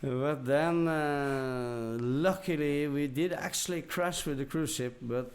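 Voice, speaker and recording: A man's voice: fairly high pitch, slow. A man from Norway with a Norwegian accent, telling anecdotes casually as a podcast guest and searching for his words in non-native English.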